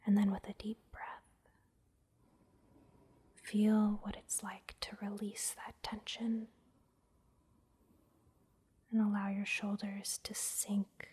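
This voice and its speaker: A woman softly whispering an ASMR guided meditation, giving gentle, soothing instructions with pauses. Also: mid-range voice, monotone. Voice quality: soft, breathy whisper. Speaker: massage therapist and meditation guide, host.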